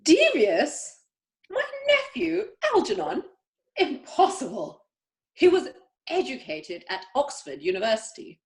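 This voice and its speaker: Woman acting haughty older society aunt, high-pitched, hugely animated intonation, halting emphatic delivery, stage comedy read-through. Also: heavily acted. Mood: indignant. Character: imperious, snobbish older upper-class aunt, grandly judging everyone. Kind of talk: amateur play read-through.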